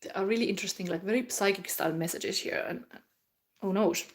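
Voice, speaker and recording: The Finnish woman you would find in an online tarot video, thinking aloud card by card, groping for words in halting second-language English. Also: mid-range voice. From Finland. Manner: rambling, searches for words, halting non-native English. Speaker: tarot reader hosting a psychic reading. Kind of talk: casual spontaneous tarot reading to viewers.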